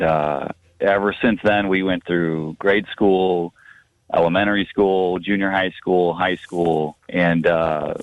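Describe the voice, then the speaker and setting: Male phone guest, low pitch, casual reminiscing, tinny telephone sound. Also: no echo. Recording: tinny, dry telephone line.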